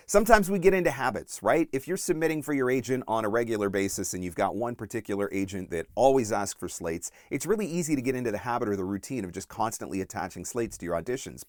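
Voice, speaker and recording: A man giving instructional tips as a voice-over coach, speaking directly and emphatically with deliberate stress on key points, close on the mic. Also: medium pitch, no room sound. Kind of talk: instructional video talk to camera, numbered tips.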